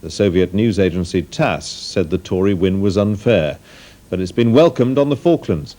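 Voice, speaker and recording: A man with a British accent reading scripted news in a measured, formal way. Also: deep voice, lively intonation, dark tone. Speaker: newsreader.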